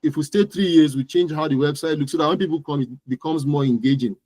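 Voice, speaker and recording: A man's voice: fairly high pitch, fast talker, a little mellow, no room sound, low-quality recording. A man with a Nigerian accent answering as an interview guest, speaking conversationally and repeating phrases for emphasis. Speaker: interview guest, digital marketing entrepreneur. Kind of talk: conversational interview about own business.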